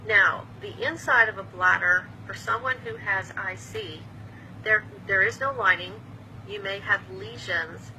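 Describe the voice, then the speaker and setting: Bright-voiced woman in her fifties explaining. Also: medium pitch, unhurried, a little hiss or hum, low-quality recording. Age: 50s. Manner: plain, patient explaining with small restarts. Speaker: patient advocate. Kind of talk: earnest explanatory talk to camera.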